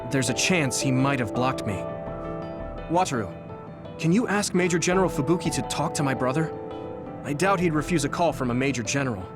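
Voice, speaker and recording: An actor playing an earnest, thoughtful young squad member in an anime-style video game. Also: male voice, medium pitch, animated, a little fast, loud music behind the voice, natural voice.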